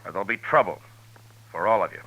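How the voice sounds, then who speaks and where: An actor doing a deep, firm frontier marshal. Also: male voice, quick pace, a crowd in the background, natural voice. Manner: firm and commanding. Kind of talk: 1950s radio drama acting. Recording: dull, lo-fi old radio broadcast.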